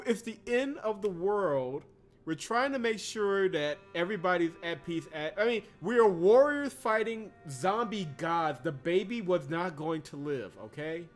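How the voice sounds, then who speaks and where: Chatty man streaming over game music. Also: sits high. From Georgia, US. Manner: chatty and animated, laughs often, reads game text aloud. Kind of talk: casual game stream chat. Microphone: desk mic. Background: game soundtrack music.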